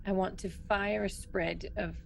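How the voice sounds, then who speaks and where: Woman with a lot of vocal fry thinking out loud at game night, casual, some noise behind her. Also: medium pitch, fairly even intonation, measured pace. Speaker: player in a tabletop role-playing game. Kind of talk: casual game-table chat.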